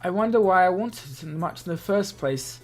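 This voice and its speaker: A man's voice: high voice, lively intonation, moderate pace. Man narrating a visual novel, music behind. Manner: stumbles and rereads lines, explains asides. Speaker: let's player reading a visual novel aloud. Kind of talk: game narration with casual asides.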